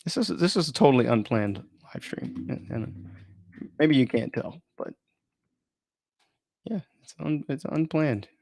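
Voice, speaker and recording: A man's voice: mid-range voice, lively intonation, measured pace, gravelly, long pauses, a little mellow, dry recording. A man chatting informally and thinking aloud as he runs an unplanned live stream, often interrupting himself in a rambling way.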